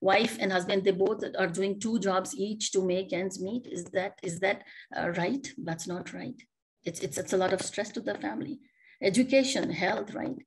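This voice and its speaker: A woman's voice: medium pitch, moderate pace, rough recording. Earnest woman on a video call. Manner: earnest, questioning, many rhetorical questions and restarts. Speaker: panelist. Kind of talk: panel discussion remarks. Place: home office, indoors.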